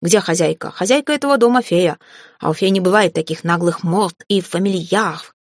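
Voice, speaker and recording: A stocky, scrappy house spirit from a fantasy storybook, put on by a woman. He talks in folksy rustic phrases with a burred r, gruff yet easily flustered, recorded close and dry. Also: fairly low pitch, brisk, dry recording. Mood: indignant scolding.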